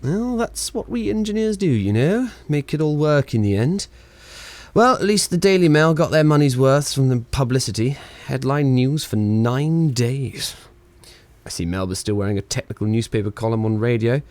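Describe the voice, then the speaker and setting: A male actor as a sardonic wireless engineer from the 1920s in a radio play. He rambles wryly, theatrically flippant and reluctant, in a mid-pitched voice. The sound is dry and close. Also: expressive, normal speed, darkish tone, dry recording, natural voice. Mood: dry, sceptical.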